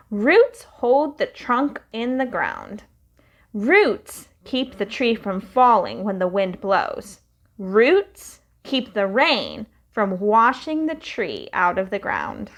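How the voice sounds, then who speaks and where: Slow, lively woman reading to kids. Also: on the high side. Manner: slow, lively, sing-song storytelling. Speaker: children's storytime reader. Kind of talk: reading a picture book aloud to children.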